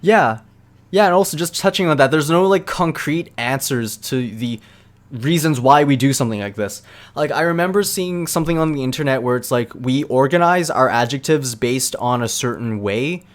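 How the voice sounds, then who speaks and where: Young male streamer, mid pitch, casual and rambling, off-the-cuff chat. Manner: relaxed and rambling, with fillers and quick laughs. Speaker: streamer answering chat questions.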